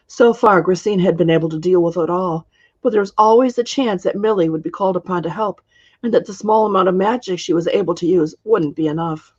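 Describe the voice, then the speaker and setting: A woman narrates a storybook as its author. Her voice is gravelly and husky, captured in slightly muffled, lo-fi sound. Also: lowish voice, darkish tone. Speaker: author reading own book.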